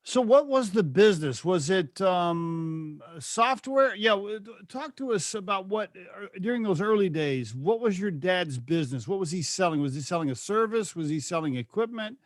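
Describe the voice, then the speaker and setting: A man's voice: high voice, expressive, normal speed, hardly any pauses, no room sound, studio quality. A man in his fifties hosting a podcast interview, chatty and jokey, firing off several questions in a row. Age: 50s. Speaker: podcast host. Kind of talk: casual interview chat.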